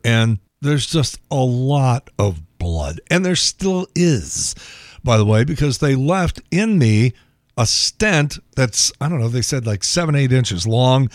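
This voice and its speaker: A man with an American accent and a gravelly, thinning voice, hosting a podcast in a wry, colloquial, sometimes profane solo monologue. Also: mid-range voice, expressive, unhurried, dry recording, clean studio sound. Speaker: podcast host. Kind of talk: casual solo monologue on news and sport.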